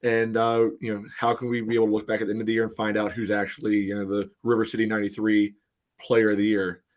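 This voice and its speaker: A man with a somewhat low voice co-hosts a casual soccer show. He talks conversationally and moderates, setting up segments and questions for the others. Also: monotone, quick pace. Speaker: soccer fan podcast co-host.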